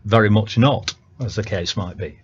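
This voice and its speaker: A Northern English man talks casually about his music in a low voice. He hesitates often and restarts his sentences. The sound is dull and lo-fi, like a local radio broadcast. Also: normal speed. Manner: hesitant, many ums and restarts. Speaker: songwriter guest.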